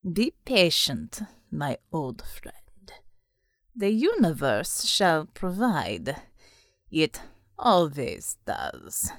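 Smug, patient male devil for an audiobook played by a woman: lowered pitch, deliberate, calmly menacing. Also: dry recording, studio quality, heavily acted. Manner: slow, deliberate, menacingly calm.

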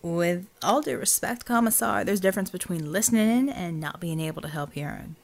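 A woman's voice: fairly low pitch, brisk, creaky. A woman playing a wry, laid-back American rebel man in an audiobook, with a folksy, casual way of talking. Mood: defensive.

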